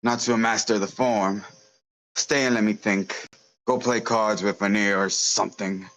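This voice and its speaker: A man playing a bitter, self-pitying crime boss with a gruff, brooding manner, in a script table read. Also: fairly low pitch, fairly even intonation, moderate pace, a bit bright, natural voice, low-quality recording. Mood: musing.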